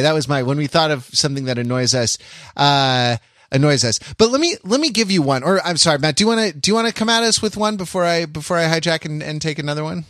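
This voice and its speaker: Higher-pitched man, very dry sound. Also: a bit bright, no echo. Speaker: podcast panelist. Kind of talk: casual discussion.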